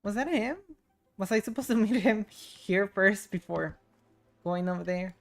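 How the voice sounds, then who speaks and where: A woman's voice: mid-range voice, measured pace. A woman streamer giving casual game commentary, talking playfully to herself in short reactions. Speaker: game streamer playing through a story quest.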